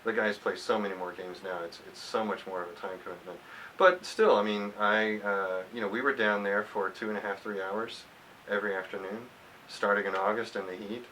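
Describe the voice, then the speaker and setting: A man in his fifties from Texas, giving thoughtful, halting answers in a reflective interview. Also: fairly low pitch, fairly even intonation, sharp tone. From United States, grew up in Texas. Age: 50s. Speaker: alumni interviewee, former college athlete. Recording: hissy, distant microphone.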